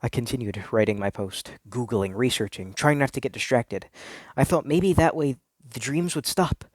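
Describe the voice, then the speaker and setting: A man narrates a first-person story with earnest drama, as if confessing. His voice sits a little low and the recording is dry and close. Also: moderate pace, no room sound. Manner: theatrical, earnest confessional delivery.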